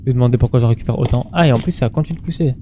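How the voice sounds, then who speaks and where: A man's voice: mid-range voice, racing, background noise. A man doing casual gameplay commentary in an informal, slangy way, muttering to himself and chatting to his viewers. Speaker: gaming streamer.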